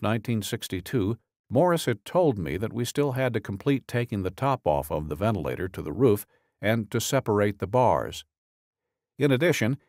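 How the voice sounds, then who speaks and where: A man reading a true-crime audiobook as scripted narration, in a measured, deliberate, matter-of-fact way. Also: medium pitch, darkish tone, dry recording, clean studio sound.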